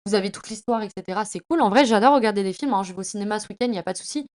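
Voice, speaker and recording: A young woman chatting casually as a podcast host, in a slangy, effusive and emphatic way, often halting and stumbling over her words. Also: medium pitch, darkish tone. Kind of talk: casual, chatty monologue about reading.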